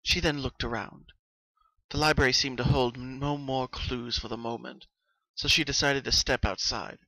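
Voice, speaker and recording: Bright-voiced man reading a story dramatically. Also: medium pitch, steady tone, moderate pace, no echo, rough recording. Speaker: narrator. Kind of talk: dramatic fan fiction reading.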